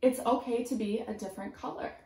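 A woman's voice: fairly high pitch, fairly even intonation, dark tone. Young woman reading storytime in a roomy space. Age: 20s. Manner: simple, encouraging, teacherly phrasing with pauses. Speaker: preschool teacher reading a storybook. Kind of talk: children's storytime read-aloud with questions to young listeners. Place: small room at home, indoors. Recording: roomy indoor echo.

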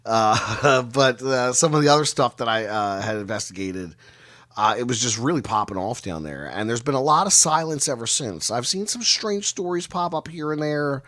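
A middle-aged man telling stories as an interview guest on a video call, measured and given to dramatic pauses. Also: mid-range voice, expressive, a bit bright. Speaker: guest storyteller and researcher of mysteries. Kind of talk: conversational storytelling interview. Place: indoor room, video call.

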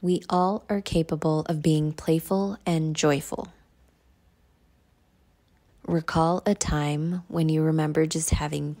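A woman leading a guided meditation in a measured, instructional way. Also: low voice, vocal fry, mellow.

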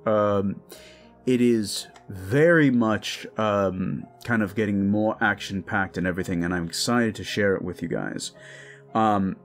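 A man with a fairly low voice talks informally to his listeners as an audio drama creator. He is appreciative and a little hesitant, with music behind him. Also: a little slow. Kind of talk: casual thank-you outro to listeners.

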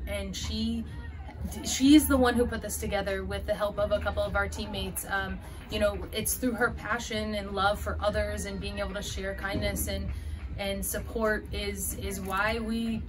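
A woman's voice: medium pitch, little pitch movement, moderate pace. Woman coach in her 30s, postgame interview, earnest with fillers, indoor hallway, camera close. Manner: earnest, fillers and restarts, gestures while talking. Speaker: head softball coach.

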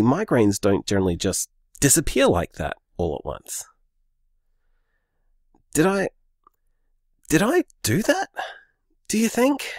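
A man's voice: medium pitch, no echo, clean studio sound. A man playing an easygoing, sceptical young boyfriend in a naturalistic audio drama, conversational and hesitant. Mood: bewildered, unsure. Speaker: podcast drama actor.

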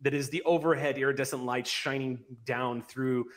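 A middle-aged man with an average-pitched voice narrates a tabletop roleplay game as its game master. He speaks close to the mic and now and then corrects himself as he reads. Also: steady tone, normal speed. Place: indoor room, on a group video call. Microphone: microphone on an arm, close to mouth.

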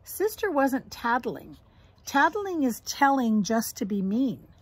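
Woman reading as a patient, husky mother bear. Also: a little high, big pitch swings, slow pace, dry recording, exaggerated voice, poor audio. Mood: explaining. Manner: gentle explaining, reassuring. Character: caring, patient mother bear teaching her cub.

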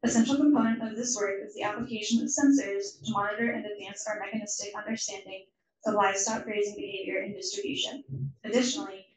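A woman's voice: mid-range voice, fairly even intonation, quick pace, crowd noise. A woman formally reading a speaker introduction to an audience. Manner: formal, read from a script. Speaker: event host introducing the speaker. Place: lecture room with an audience. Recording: echoey room audio from a distance with audience noise.

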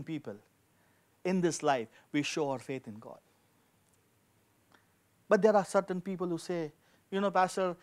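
High-pitched male preacher, emphatic. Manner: emphatic, repeating key phrases for effect. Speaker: pastor preaching. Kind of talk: sermon with scripture reading and prayer. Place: indoor studio with dark backdrop, at a lectern. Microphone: handheld microphone.

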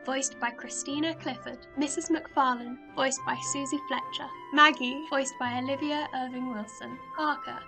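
A woman reading scripted narration for a radio play, announcing credits and stage directions. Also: high-pitched.

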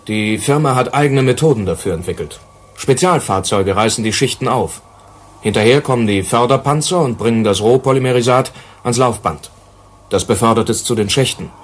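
An actor in a German radio play, playing an earnest colony manager who explains things carefully. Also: male voice, medium pitch, brisk, lightly acted. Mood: matter-of-fact. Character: earnest male colony manager explaining grim working conditions.

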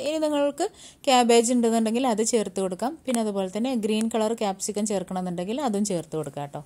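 Fast-talking woman cooking-show host. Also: medium pitch, mellow. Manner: brisk, step-by-step instructing, Malayalam mixed with English words. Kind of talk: voice-over cooking tutorial.

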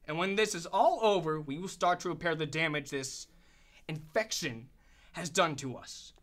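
Actor as cocky army commander. Fairly high, forceful, emphatic, radio drama. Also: male voice, normal speed, natural voice. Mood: defiant, reassuring. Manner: emphatic, assured. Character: confident, blustering US military commander.

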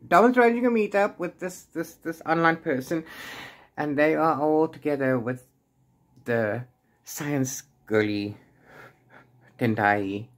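A man in his forties giving a casual, unscripted book chat, rambling in an animated way with exasperated asides. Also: on the high side, measured pace, pauses often. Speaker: book reviewer vlogger. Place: small room at home with bookshelves.

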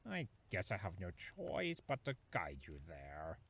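A man voicing a weary, grudging chimera creature in a fan-made cartoon, speaking deliberately and reluctantly. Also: low-pitched, huge pitch swings, gravelly, fairly bright tone, slightly rough, exaggerated voice. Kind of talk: fan animation voice acting.

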